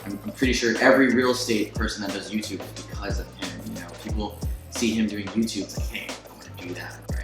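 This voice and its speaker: Excitable young man hosting, echoey room. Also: fairly low pitch, quick pace. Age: 20s. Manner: excitable, laughs often, lots of fillers. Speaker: host. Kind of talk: casual sit-down interview. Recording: echoey room with music behind.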